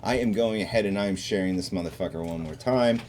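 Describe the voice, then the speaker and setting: A man's voice: deep voice, quick pace, a little mellow, a little hiss or hum. A man hosting a live podcast from a bar, with loose, profane banter. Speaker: host. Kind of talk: casual live podcast chat.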